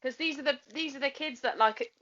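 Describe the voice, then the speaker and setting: A woman's voice: high voice, fairly quick, pushed and bright, lo-fi sound. A woman hosting an interview in casual, chatty style. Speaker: interviewer, host. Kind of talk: casual chat.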